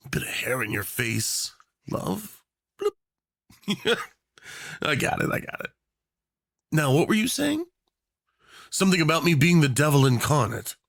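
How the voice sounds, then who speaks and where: A man's voice: on the high side, fairly bright tone, dry recording, studio quality, full character voice. A man playing a doting, unhinged rich tyrant in an obsessive romance roleplay, theatrical and saccharine, teasing with pet names. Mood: playful, mocking. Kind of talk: yandere ASMR roleplay acting.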